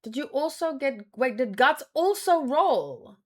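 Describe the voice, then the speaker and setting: A woman's voice: sits high, sing-song, unhurried. A woman chatting casually as a streamer, thinking aloud in a rambling, exclamatory way. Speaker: manga read-along streamer.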